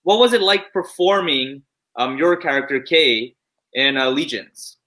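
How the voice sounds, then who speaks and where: A woman interviewer with a bright, forceful voice. She asks questions haltingly, pausing and repeating herself as she looks for the right word. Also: sits low, lively intonation. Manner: halting, searching for words. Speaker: interviewer host. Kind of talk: casual interview.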